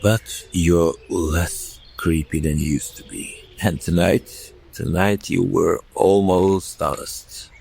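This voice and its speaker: A man playing a blunt, brooding young werewolf in a whispered audio drama, talking in slow, hushed tones. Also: sits low, fairly even intonation, creaky, slight background noise, full character voice. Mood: wry.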